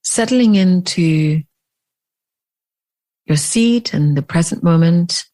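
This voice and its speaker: A woman leading a guided meditation as a teacher, speaking in deliberate phrases with long pauses. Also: fairly low pitch, mellow.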